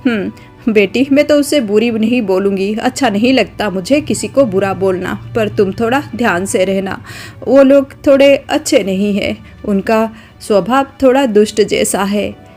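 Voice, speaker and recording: A woman voicing a kindly older village mother-in-law who gently advises her daughter-in-law. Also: medium pitch, unhurried, faint music behind, lightly acted. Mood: gentle advising.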